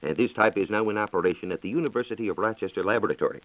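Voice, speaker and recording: A man's voice: on the low side, rapid-fire, a bit bright. An American man reporting on science in a scripted, formal and precise 1940s radio style, on a dull, echoey old recording.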